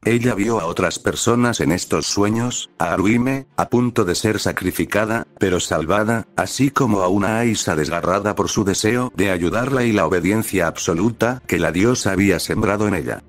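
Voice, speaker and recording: A man reading a fanfiction story aloud as scripted narration, measured and delivered in short phrases. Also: lowish voice. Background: soft background music.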